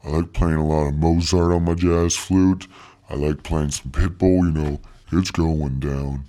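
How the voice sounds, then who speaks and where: Male actor as slangy, swaggering voice-over guy: extremely low, thick and dark, drawling and boastful, comic podcast guest. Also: fairly even intonation, measured pace. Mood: cool, boastful. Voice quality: thick, deep voice. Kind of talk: comic in-character interview.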